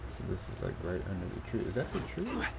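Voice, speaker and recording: Streamer: man, deep creaky voice, casual live play commentary, loud game sound behind. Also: wildly swooping pitch, quick pace, very noisy.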